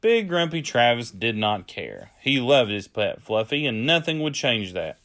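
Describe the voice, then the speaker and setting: A man's voice: medium pitch, big pitch swings, a little slow, a bit bright. Man reading a children's storybook aloud. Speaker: storyteller, early childhood educator.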